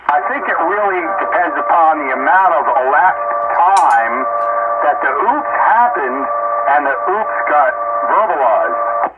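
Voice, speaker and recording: A man's voice: fairly high pitch, animated, a little slow, over loud music. A man bantering casually over two-way radio as an operator, grumbling and self-deprecating. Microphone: radio microphone. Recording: tinny narrowband radio, loud static.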